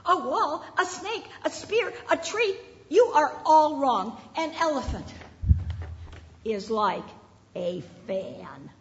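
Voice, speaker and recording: A woman voicing an indignant blind man who shouts in frustration. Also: on the low side, drawn-out words, gravelly, few pauses, in a large hall, highs cut off, slight background noise, pitched below the performer's own voice, full character voice. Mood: exasperated. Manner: shouting, exasperated.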